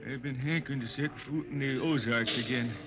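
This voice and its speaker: A man's voice: mid-range voice, fairly even intonation, fairly quick, natural voice. An actor playing a blind old spaceman singer. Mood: wistful. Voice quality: gentle, drawling and folksy. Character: aging blind wandering spaceman balladeer. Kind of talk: 1950s radio drama acting. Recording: muffled old radio broadcast with hiss.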